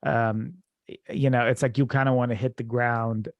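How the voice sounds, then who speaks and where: A man's voice: medium pitch, level tone, normal speed, dry recording, lo-fi sound. A man hosting a casual podcast interview, rambling with lots of fillers and restarts. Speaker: podcast host.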